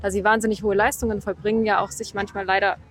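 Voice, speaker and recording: A woman podcast host interviewing on location outdoors at a horse show, asking friendly, drawn-out questions. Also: medium pitch, flat delivery.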